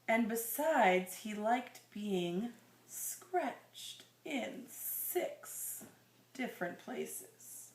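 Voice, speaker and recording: A woman reading a children's story aloud expressively, drawing out phrases and adding conversational asides and questions for her listener. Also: fairly high pitch. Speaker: reader of a children's picture book to a listener. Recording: roomy, echoing sound.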